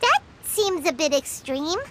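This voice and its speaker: A woman voicing a tiny, cheerful, curious childlike fairy companion in a video game, with a squeaky, chirpy delivery. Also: animated, unhurried, heavy background noise. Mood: surprised, puzzled. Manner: chirpy, refers to self in third person. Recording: loud music under voice.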